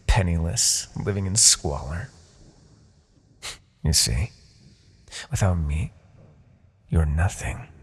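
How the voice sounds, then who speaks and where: A low, husky demon king, acted by a man, proud and vengeful, holds forth in a fantasy audio roleplay. His gravelly delivery is grandiose and deliberate, swinging widely in pitch between coaxing and menace. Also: heavily acted. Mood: mocking, gloating. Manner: theatrical, deliberate, imperious, with dramatic pauses. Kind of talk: fantasy ASMR roleplay monologue.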